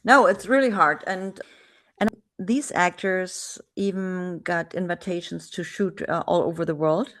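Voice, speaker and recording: A woman from Germany with a German accent, hosting a relaxed video-call interview in English and talking in a chatty way with many restarts. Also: lowish voice, measured pace. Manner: chatty, frequent restarts and fillers. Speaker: podcast host.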